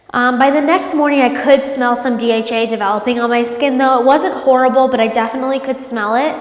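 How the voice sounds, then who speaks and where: Congested woman in an echoey room. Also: on the high side. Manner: chatty, many ums, upbeat. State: congested from a cold. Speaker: product reviewer. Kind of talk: casual product review vlog. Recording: echoey room with long reverb.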